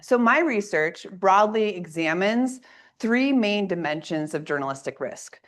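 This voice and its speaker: A woman lecturing to a room of listeners, her voice slightly raspy because she is coming down with a cold. She lays out her points in an orderly, clear way. She speaks at a middling pitch for a woman. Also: expressive, unhurried. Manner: clear, organized lecturing with audience asides. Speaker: assistant professor giving a workshop talk. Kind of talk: academic presentation.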